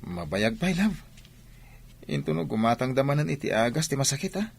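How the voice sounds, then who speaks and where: A gravelly, careless cheating husband. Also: male voice, fairly high pitch, animated, normal speed, exaggerated voice. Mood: evasive. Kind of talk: Ilocano radio drama acting.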